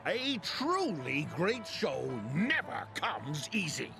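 Creaky, grumbling old man. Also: high-pitched, huge pitch swings, slow, over music, full character voice. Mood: lecturing, weary. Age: older. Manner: scornful, theatrical grumbling. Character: grumpy jaded old man at a bar. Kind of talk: video game voice acting.

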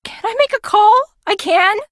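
High-voiced, fretful young woman. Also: animated, moderate pace, fairly bright tone, no room sound, clean studio sound. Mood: panicky, despairing. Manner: fretful, plaintive. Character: anxious, overwhelmed young woman contestant. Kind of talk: video game voice acting, confessional.

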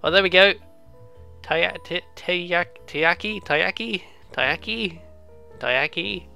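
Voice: medium pitch, slow pace, gravelly, pushed and bright, soft background music. A let's play host giving casual, rambling, playful commentary on a video game. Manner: rambling, playful, mimics sounds and game lines.

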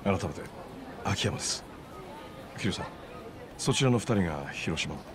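An actor playing a polite, easygoing middle-aged former moneylender in a video game, understated and streetwise. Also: male voice, deep voice, lively intonation, moderate pace, a crowd in the background, noisy background. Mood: composed. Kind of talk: Japanese video game voice acting.

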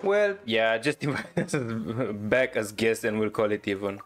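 A man's voice: fairly low pitch, big pitch swings. A man giving casual, enthusiastic reaction commentary over a video game, repeating himself and drawing out his words. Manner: drawn-out, repetitive, enthusiastic. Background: faint game music.

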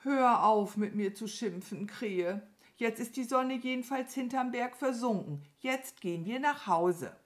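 A woman voicing a gentle, affectionate, dreamy cow in a children's storybook, with a mild, mooing manner. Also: fairly high pitch, animated, unhurried, few pauses, voice pushed up. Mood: insistent.

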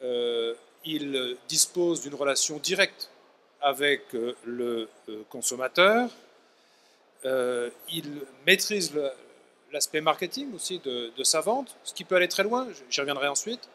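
A man's voice: a little high, expressive, unhurried, pushed and bright, slight background noise. A middle-aged man, a professor guest on a trade fair panel, talking articulately and gesturing as he speaks into a handheld mic. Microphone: handheld microphone.

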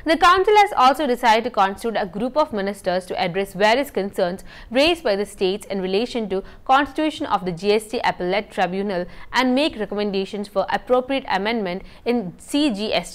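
A young woman, reading a scripted news bulletin in a steady, measured way in a studio. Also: mid-range voice. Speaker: news presenter. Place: indoor TV news studio set.

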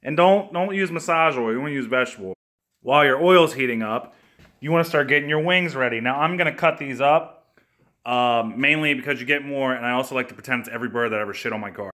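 A young man in his twenties filming a comedic cooking video in his home kitchen on a phone held at arm's length, cracking crude deadpan jokes and swearing freely. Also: medium pitch, quick pace. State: hungover.

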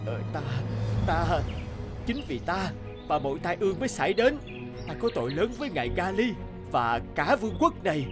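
A man's voice: high voice, big pitch swings, moderate pace. Big pompous cartoon king, middle-aged, very dramatic, music underneath. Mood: remorseful. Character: fat, haughty middle-aged king, blustering and emotional. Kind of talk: cartoon voice acting.

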